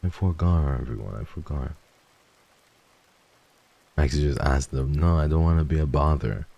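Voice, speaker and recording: A man streaming a casual chat with viewers, swearing freely and jumping from low mumbles to excited high yelps. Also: moderate pace, creaky.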